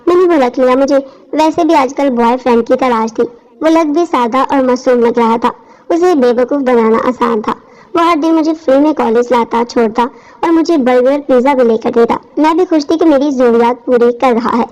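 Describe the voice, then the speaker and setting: A woman's voice: sits high, normal speed, a little mellow. A woman reading a scripted first-person story as its narrator. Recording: dull lo-fi audio.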